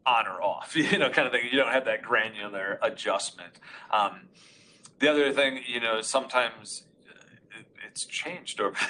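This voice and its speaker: A man with a loud, carrying voice presenting a webinar, walking through a live product demonstration in a casual, explanatory way from a meeting room. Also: on the low side, slight background noise, poor audio. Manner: informal, explanatory. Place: meeting room with reflective walls. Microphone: conference room array microphone.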